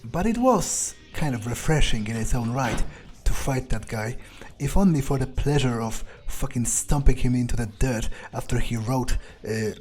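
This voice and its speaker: A man's voice: mid-range voice, lively intonation, moderate pace, few pauses, a little mellow, soft background music. A man doing in-character game playthrough commentary, thinking aloud hesitantly and swearing bluntly. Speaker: gaming video creator.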